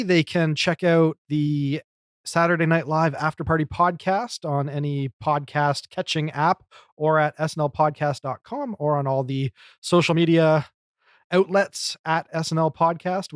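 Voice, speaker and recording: A man's voice: fairly high pitch, fairly even intonation, normal speed, clear, resonant tone, no echo, studio quality. A man co-hosting a podcast, talking in a casual, conversational style. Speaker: podcast co-host. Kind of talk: casual chat.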